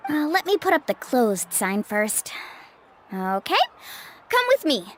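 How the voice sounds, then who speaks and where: A woman's voice: fairly high pitch, big pitch swings, measured pace, slight background noise. An actress playing an upbeat young woman who works as a shopkeeper and guide in an anime game, friendly and chatty. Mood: earnest explaining. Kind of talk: scripted anime game voice acting.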